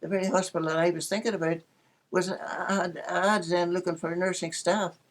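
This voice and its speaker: An elderly Northern Irish woman looks back on her life in casual conversation. Her voice sits on the low side for a woman, and she tells anecdotes chattily, with a dry sense of fun. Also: level tone, a little fast. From Northern Ireland. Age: 80s. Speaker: interviewee recalling her life. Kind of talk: casual reminiscence, storytelling.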